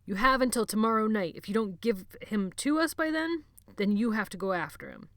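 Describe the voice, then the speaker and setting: A woman's voice: on the low side, brisk, no echo, clean studio sound, close to an everyday voice. A woman voicing a scornful boy leader. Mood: cold, scornful. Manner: cold, commanding. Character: hard, contemptuous boy gang leader. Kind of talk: audiobook character voice.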